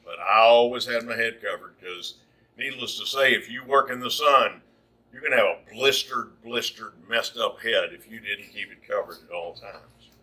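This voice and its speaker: A man's voice: mid-range voice, normal speed, bright, forceful tone. A man from Virginia in costume gives a chatty lecture to an audience, speaking without a microphone in his own big voice. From Virginia, USA. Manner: chatty and explanatory, with frequent restarts. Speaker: costumed shanty performer and lecturer. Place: indoor event hall. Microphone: no microphone, picked up from across the room. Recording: distant room recording.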